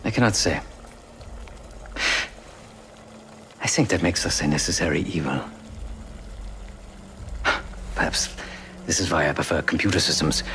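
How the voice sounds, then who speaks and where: A man playing a remorseful, pacifist former enforcer in a video game cutscene, speaking in formal, measured, philosophical phrases. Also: rumbling low, a bit bright, slight background noise. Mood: reflective.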